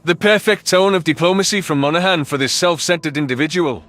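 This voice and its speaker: A man's voice: a little high, fast, a bit bright. A man narrating a scripted first-person science fiction story.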